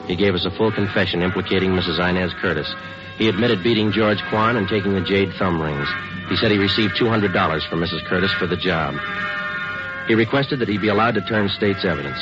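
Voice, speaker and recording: A man playing a terse, no-nonsense police detective in a 1940s radio crime drama, clipped and deadpan. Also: low voice, fast, lightly acted. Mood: matter-of-fact. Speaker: police detective and case narrator. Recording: dull old radio recording with loud music.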